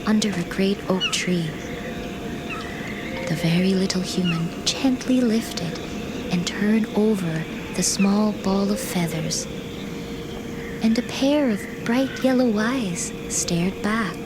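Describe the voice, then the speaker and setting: A woman reading a scripted fairytale as storyteller, in a gentle, measured storybook delivery. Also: mid-range voice, very noisy. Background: music behind the voice.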